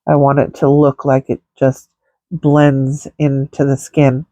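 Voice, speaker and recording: A woman's voice: a bass voice, little pitch movement, takes it slowly, mellow, no room sound, lo-fi sound. A woman with a stuffy, congested nose chatting casually through a makeup tutorial, rambling with many fillers. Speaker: makeup vlogger.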